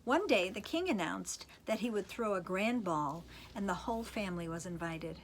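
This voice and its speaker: A woman's voice: mid-range voice, expressive, normal speed, slight background noise. A woman reading a storybook expressively to children, with a playful manner. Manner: playful asides to young listeners.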